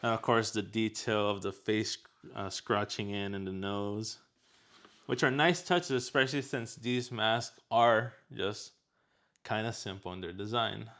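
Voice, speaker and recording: A man's voice: lowish voice, a little slow, a bit bright. A man chatting casually as he hosts an unboxing and product review.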